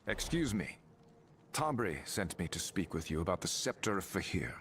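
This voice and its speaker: A man's voice: low-pitched, sing-song, moderate pace, fairly bright tone, a little hiss or hum, full character voice. A man voicing a composed, determined adventurer in a video game, terse and serious. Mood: polite, serious.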